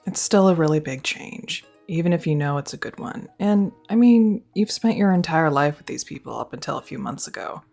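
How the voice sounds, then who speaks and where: A woman's voice: sits low, lively intonation, fairly quick, creaky, hardly any pauses, a little mellow, faint music behind. A young woman performing a scripted girlfriend roleplay, speaking close to the mic in an affectionate, teasing and reassuring way.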